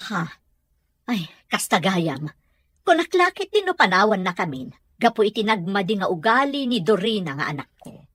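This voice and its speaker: A woman's voice: medium pitch, very animated, moderate pace, fairly bright tone, husky, no echo. A woman playing an anxious, fretful mother and lady of a well-off house in an audio drama. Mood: anxious, pleading. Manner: worried, fretful. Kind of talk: radio drama acting.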